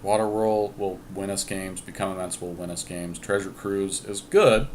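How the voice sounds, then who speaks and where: A man's voice: low-pitched, fairly even intonation, normal speed, darkish tone, a little hiss or hum. A man talking casually through card game deck choices, deliberating and reasoning aloud as he goes. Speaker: podcast host drafting a card game deck.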